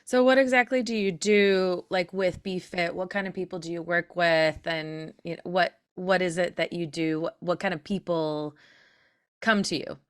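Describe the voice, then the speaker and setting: A woman's voice: on the low side, steady tone, normal speed, clear, resonant tone. A woman hosting a podcast in a conversational style, introducing and questioning as an interviewer does. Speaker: podcast host.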